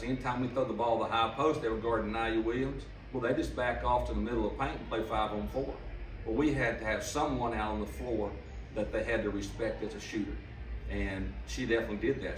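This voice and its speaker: A man's voice: mid-range voice, background noise. An older man, a head coach answering press questions after a game in a plainspoken, emphatic way, seated at a table mic. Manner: plainspoken, emphatic, repeats for stress. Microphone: table mic on stand, camera some distance off. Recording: roomy, slightly distant mic pickup.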